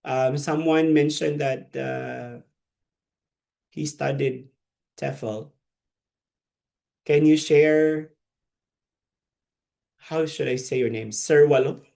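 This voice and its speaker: Gravelly Filipino man teaching. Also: medium pitch, steady tone, very slow, pauses often, mellow. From Philippines. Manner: conversational lecturing, asks trainees questions. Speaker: ESL teacher trainer. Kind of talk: live online training session.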